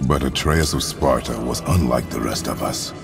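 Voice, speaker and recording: An actor playing a grim, stoic Spartan warrior father in a video game, speaking tersely and solemnly in formal, measured words. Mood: solemn, remembering. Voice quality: deep, gravelly. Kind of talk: dramatic video game acting. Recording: loud music behind the voice.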